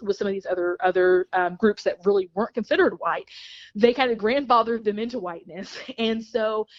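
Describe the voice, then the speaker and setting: A woman's voice: mid-range voice, a little mellow. Woman talking in a dull, lo-fi recording. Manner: many restarts and repeated words, laughs between points. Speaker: interview guest. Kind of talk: explaining history in casual conversation.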